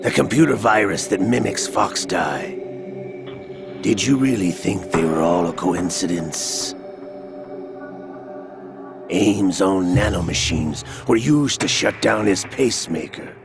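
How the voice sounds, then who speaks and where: An actor playing a cold, scheming older male villain in a video game, calm, measured and mocking as he lays out a plot. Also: sits low, gravelly, exaggerated voice. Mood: smug, coldly explaining. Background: game score music.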